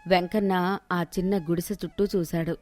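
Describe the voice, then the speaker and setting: A woman's voice: fairly low pitch, steady tone, fairly quick, mellow, no room sound. A woman narrating a scripted moral story as the storyteller.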